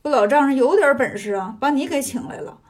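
A woman's voice: high voice, expressive, fairly quick. A woman voicing a comic male pig demon in a story, grumbling and indignant in a colloquial way. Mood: sheepish.

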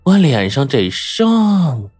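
Man playing a slow, menacing young woman. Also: fairly high pitch, wildly swooping pitch, a little mellow, breathy edge, dry recording, clean studio sound, faint music behind, pitched above the performer's own voice, heavily acted. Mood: cold menace. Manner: slow, word by word, questioning.

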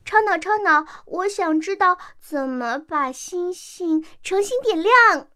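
Small child, radio drama. High voice, innocent, inquisitive, pleading. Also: female voice, lively intonation, slow, sharp tone, full character voice. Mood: hopeful.

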